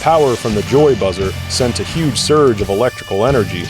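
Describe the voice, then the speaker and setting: A man's voice: lowish voice, expressive, brisk, over music. A man narrating an audio drama in a scripted storytelling style.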